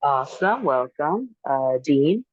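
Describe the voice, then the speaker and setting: A woman's voice: low-pitched, sing-song, slow pace, no room sound, dull audio. A woman hosting a dating show, calling on guests one by one with short cheerful acknowledgements.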